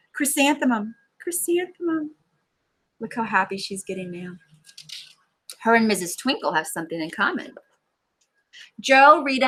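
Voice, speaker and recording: A woman reading a picture book aloud in an expressive, animated teacher's manner, with asides to young listeners. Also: mid-range voice. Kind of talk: expressive children's story read-aloud.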